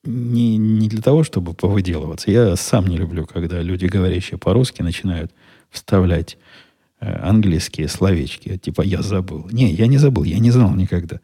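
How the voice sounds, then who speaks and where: A middle-aged man hosting a solo podcast, answering listener questions in a dry, wry, unhurried way. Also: deep voice, dark tone, husky. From Russian-speaking country. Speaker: podcast host.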